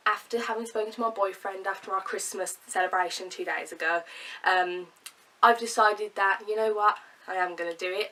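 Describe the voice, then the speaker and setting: A woman's voice: mid-range voice, bright, forceful tone, distant mic, low-quality recording. A young woman with a cold and a nasal voice, talking earnestly to camera in a chatty vlog. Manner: chatty, earnest, with fillers and laughs. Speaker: vlogger. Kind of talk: casual talk to camera.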